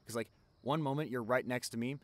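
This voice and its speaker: A man's voice: mid-range voice, fairly quick, fairly bright tone, close to an everyday voice. A young man playing a caring, chatty college best friend in an ASMR roleplay, talking casually and directly to the listener. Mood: casual, relaxed.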